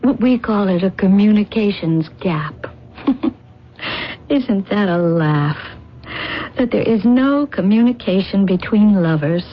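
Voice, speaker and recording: A woman's voice: mid-range voice, lively intonation, slow. An actress playing a blunt, emotional, free-spirited young woman in love, in a radio drama. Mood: wounded, bitter. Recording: muffled radio drama recording, noisy background.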